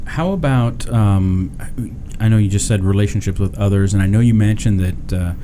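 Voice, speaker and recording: A man hosting a podcast interview, relaxed and casual, restarting his questions as he goes. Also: lowish voice, normal speed, background noise. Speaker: podcast host.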